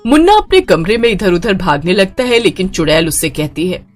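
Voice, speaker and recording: Animated woman narrating a horror story. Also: lowish voice, a little fast, soft background music.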